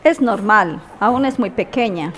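A woman's voice: medium pitch, lively intonation, unhurried, a bit bright, soft background music. A woman playing a caring, supportive mother and neighbour in conversational audio drama. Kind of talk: scripted amateur radio drama.

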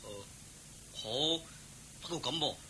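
An actor playing a simple, humble young servant in a Cantonese radio drama, hesitant and naively earnest. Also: male voice, mid-range voice, lively intonation, slow pace, bright, forceful tone, slight background noise, rough recording. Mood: puzzled, humble.

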